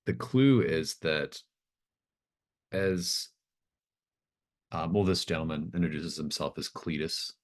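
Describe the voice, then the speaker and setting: A man's voice: sits low, animated, slow pace, pauses often. A man acting as game master, improvising narration for a tabletop game in a hesitant, thoughtful, informal way.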